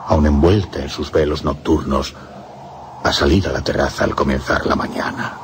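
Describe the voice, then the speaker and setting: Deep-voiced, lovesick romantic. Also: male voice, fairly even intonation, moderate pace, background noise. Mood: yearning. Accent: Castilian Spanish. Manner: theatrical, fervent. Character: lovesick, troubled man yearning for a courtesan. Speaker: radio drama actor. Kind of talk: radio theatre acting.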